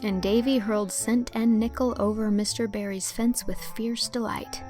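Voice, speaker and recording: A woman's voice: on the high side, fairly even intonation, normal speed, mellow, soft background music. A woman reading scripted dramatic narration as the narrator of an audio storybook.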